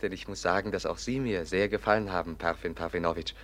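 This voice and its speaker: Man acting gentle naive young prince, lowish voice, polite and earnest, old german radio drama. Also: fairly even intonation, quick pace, a little hiss or hum, natural voice. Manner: courteous, earnest, guileless.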